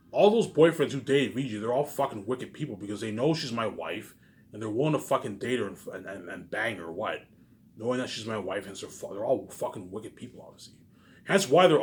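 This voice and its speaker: A man in an unscripted, repetitive and halting monologue to viewers, sounding aggrieved and letting phrases trail off quietly. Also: mid-range voice, a little hiss or hum. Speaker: online vlogger.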